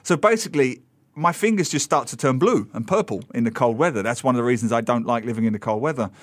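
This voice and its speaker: A man, co-hosting a casual radio chat in a studio and telling stories with animated mimicry. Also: mid-range voice, fast, no room sound. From England. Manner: animated storytelling, mimics reporters. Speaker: radio co-host. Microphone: studio microphone.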